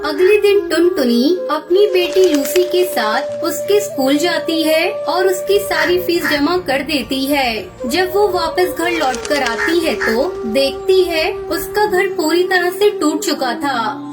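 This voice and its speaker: A woman's voice: extremely high pitch, slow, few pauses. A woman narrating a scripted children's story. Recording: loud music under the voice.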